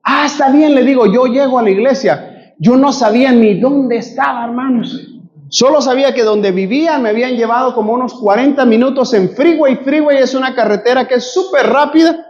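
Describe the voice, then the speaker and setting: A Guatemalan man preaches in church, with a strikingly high-pitched voice and a Guatemalan Spanish accent. He tells a personal story and keeps addressing the congregation as his brothers and sisters. The recording sounds dull and lo-fi. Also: a little fast, a little mellow. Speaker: pastor. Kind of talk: sermon with storytelling.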